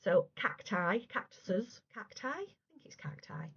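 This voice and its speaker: A woman in her thirties, a crafting vlogger, chatting casually and gushing about her work, her voice going a little hoarse. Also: medium pitch, sing-song, measured pace, a bit bright. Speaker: cross stitch vlogger. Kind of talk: casual show-and-tell chat. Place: room at home. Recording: slightly dull lo-fi home recording.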